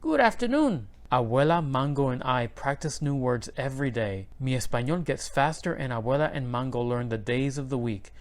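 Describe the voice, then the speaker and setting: A man reading a children's picture book aloud, in clear and expressive storybook narration. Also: medium pitch, fairly quick, hardly any pauses.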